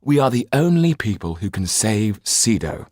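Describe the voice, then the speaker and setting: A man's voice: lowish voice, big pitch swings, normal speed, a little mellow, dry recording, studio quality, pitched above the performer's own voice. A man voicing an earnest, defiant young idealist in a storybook, speaking urgently and directly. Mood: resolute.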